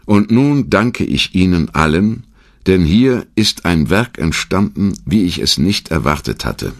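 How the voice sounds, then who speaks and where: A man voicing a grateful, humble elderly doctor giving an earnest, measured speech within a documentary. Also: sits low, very animated, voice pushed up, heavily acted. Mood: solemn gratitude.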